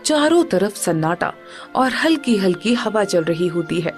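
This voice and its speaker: Lively female story narrator. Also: medium pitch, measured pace, faint music behind. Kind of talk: scripted moral story narration.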